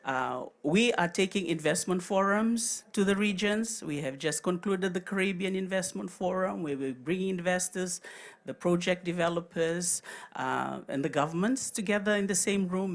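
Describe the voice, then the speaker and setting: A woman presenting on a panel in a measured, emphatic way, often trailing off softly at the end of phrases. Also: on the low side.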